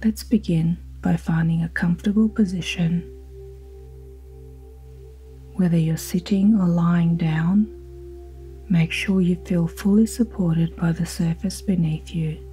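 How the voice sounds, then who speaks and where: A woman guiding a sleep hypnosis in a slow, soothing, soft-spoken manner with breathy phrase endings. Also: fairly low pitch. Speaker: hypnosis guide. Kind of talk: guided sleep hypnosis narration. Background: ambient music with singing bowl tones.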